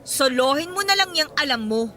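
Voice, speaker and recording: Radio drama role: combative, sarcastic young mother , played by a woman, very high voice with lively swings. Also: moderate pace, soft background music. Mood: sarcastic, exasperated. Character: feisty, hurt young mother, resentful stepsister. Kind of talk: Tagalog radio drama acting.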